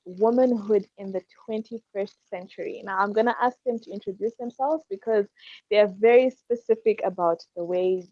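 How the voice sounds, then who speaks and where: A woman hosts an online discussion panel in a friendly, lightly teasing way. Her voice comes through a dull, dry video call mic, and she pauses now and then on an um. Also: mid-range voice, a little mellow, no echo. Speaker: podcast host. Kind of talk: casual online panel chat.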